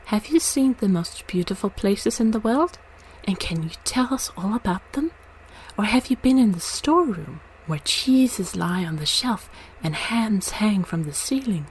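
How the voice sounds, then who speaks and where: A woman's voice: medium pitch, animated, a little slow, voice pushed up, natural voice, rough recording. A woman voicing a curious, eager little mouse in a storybook reading, with background noise. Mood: curious, chatty. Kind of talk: storybook character voice.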